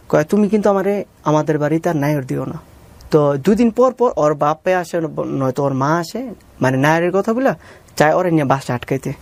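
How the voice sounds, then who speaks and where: A man's voice: on the high side, a little mellow, no room sound. Bangladeshi man earnestly recounting his life story. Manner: deferential, calls the interviewer sir. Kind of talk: personal storytelling interview with reenacted dialogue.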